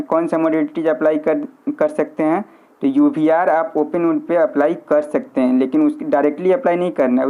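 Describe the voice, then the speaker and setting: A man giving an informal instructional lecture, repeating key points and mixing in English technical terms. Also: on the high side. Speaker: physiotherapy teacher. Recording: muffled, narrow lo-fi sound.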